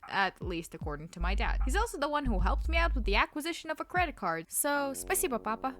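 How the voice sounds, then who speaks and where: 19 y/o canadian vlogger, russian first language, pitch jumps all over, kinda high, jokey self-deprecating chat. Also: quick pace. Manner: animated, self-deprecating storytelling. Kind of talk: casual vlog narration.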